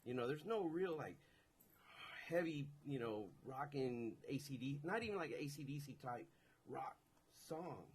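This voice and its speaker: A man's voice: mid-range voice, expressive, a little slow, slight background noise. A man whose first language is Spanish, a musician guest telling a story on a radio show. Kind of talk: casual storytelling.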